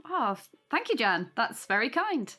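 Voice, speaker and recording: A woman's voice: a little high, very animated, measured pace, bright, forceful tone, faint music behind, exaggerated voice. A woman playing a kindly, welcoming hostess and soothing peacemaker in an audio drama. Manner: hospitable, soothing.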